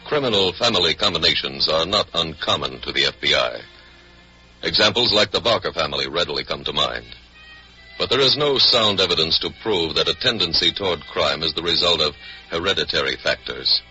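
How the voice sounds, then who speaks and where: An American man delivering stern, measured, authoritative crime narration in 1940s radio style. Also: a bass voice, expressive, bright, forceful tone. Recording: dull, band-limited vintage radio recording. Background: music.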